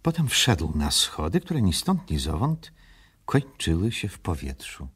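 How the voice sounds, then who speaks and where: A man narrates a storybook in a very low voice. It is extremely husky and raspy, yet the intonation moves in a lively way through the scripted narration. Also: unhurried.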